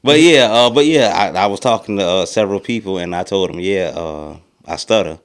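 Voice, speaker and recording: A young man with a deep, low voice, speaking in a casual podcast chat. He rambles through his stories at an easy pace and stutters now and then. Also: fairly quick, smooth. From rural American South. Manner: laid-back, rambling storytelling with a mild stutter. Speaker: podcast co-host.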